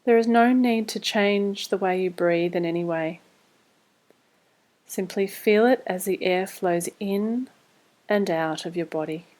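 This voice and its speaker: A woman leading a guided body scan meditation, giving scripted instructions in a calm, measured, soothing way. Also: medium pitch.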